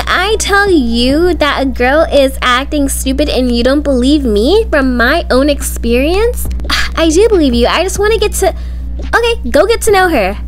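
A young woman doing amateur roleplay voice acting of school drama, with slangy, dramatic teen-girl dialogue. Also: high-pitched. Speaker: video creator voicing all characters of a school roleplay story. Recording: music behind the voice. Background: background music.

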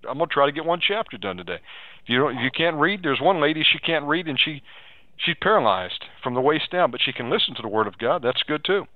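A man's voice: on the high side, no echo. Exhorting male radio preacher on phone-like audio. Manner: exhorting, repeats phrases, asks leading questions. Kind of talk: live pastoral counselling on a radio show.

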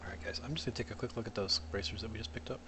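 A man's voice: fairly low pitch, rapid-fire, background noise. A congested man with a cold, chatting casually and muttering to himself while playing a fantasy game. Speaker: gameplay commentator. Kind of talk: casual gameplay commentary.

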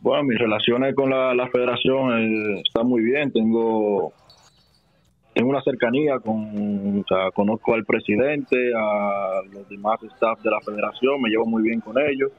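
A man's voice: fairly low pitch, normal speed. Hesitant Dominican man, thin phone-like sound. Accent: Dominican Spanish. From Dominican Republic. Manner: hesitant, many restarts and fillers. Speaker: basketball player guest. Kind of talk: casual interview answers. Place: small studio with desk. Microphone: headset and desk mic. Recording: dry, phone-like narrow sound.

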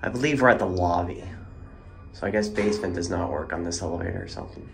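A man's voice: sits low, quick pace, creaky, soft background music. A man giving plain, matter-of-fact running commentary while filming elevators in a parking garage on a handheld phone. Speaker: elevator video host. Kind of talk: casual narration while filming. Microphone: handheld phone camera.

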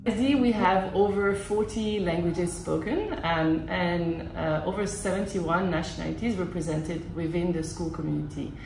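A woman in her thirties, a school language program coordinator, answering interview questions in a measured way with many fillers, seated in a classroom. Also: lowish voice, gravelly, a little mellow. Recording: echoey classroom with music behind.

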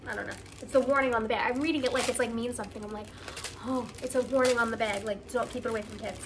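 Higher-voiced excited woman chatting, some noise. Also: very fast. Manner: gushing, excited, conversational. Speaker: vlogger. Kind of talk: casual unboxing chat to camera.

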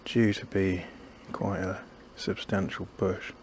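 Low-voiced man musing in his garden. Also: level tone, slow. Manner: musing, self-doubting, chatty, trails off. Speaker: gardening vlogger. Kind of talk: casual thinking-aloud narration while gardening. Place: small backyard garden outdoors. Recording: noisy outdoor ambience.

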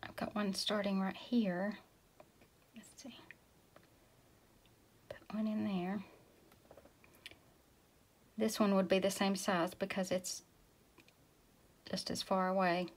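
A woman from Tennessee giving a relaxed step-by-step painting lesson, talking as she paints and sometimes dropping to a whisper. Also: mid-range voice, slow, pauses often. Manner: relaxed, talks while painting, drops to a whisper at times. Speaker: painting instructor. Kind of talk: casual step-by-step tutorial. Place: indoors at a work table.

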